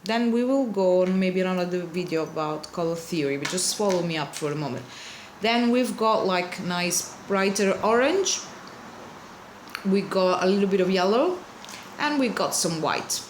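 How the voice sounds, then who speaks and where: A woman's voice: lowish voice, animated, normal speed, a little hiss or hum, low-quality recording. A woman with a non-native English accent giving a casual art tutorial, chatty and apologetic, often searching for words. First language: not English. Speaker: card-altering artist.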